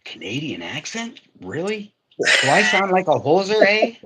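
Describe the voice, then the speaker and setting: A man's voice: sits high, wildly swooping pitch, normal speed, pushed and bright, a little hiss or hum. A man in casual, joking livestream chat, talking in a blunt, wisecracking way. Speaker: livestream co-host or guest.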